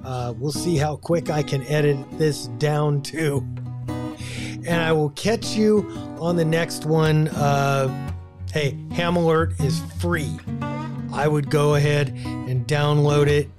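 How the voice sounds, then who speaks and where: American man, fifties, slow unhurried pace, slightly high pitch, informal video host, guitar music behind. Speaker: ham radio video host. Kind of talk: casual explainer talk to camera. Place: small home radio room, indoors. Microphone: desk broadcast microphone on boom arm.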